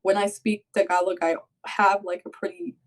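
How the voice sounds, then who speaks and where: A woman's voice: mid-range voice, little pitch movement, moderate pace. A young woman co-hosting a podcast, chatting casually and rambling, with "like" in nearly every phrase. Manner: rambling, heavy use of "like". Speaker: podcast co-host. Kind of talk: casual chat.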